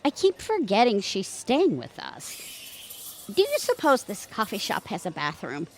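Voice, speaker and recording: Chatty wife with a sarcastic edge, female actor, animated mid-pitched voice, audio comedy, faint noise. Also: unhurried. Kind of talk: comedic audio drama acting.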